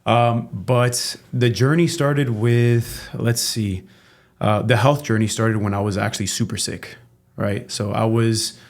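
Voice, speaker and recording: A man's voice: lowish voice, unhurried. A man from Cuba, a chiropractor, talking candidly as a guest on a casual podcast, often tagging his points with "right?" and cursing freely. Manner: relaxed and candid, tags statements with "right?", swears freely.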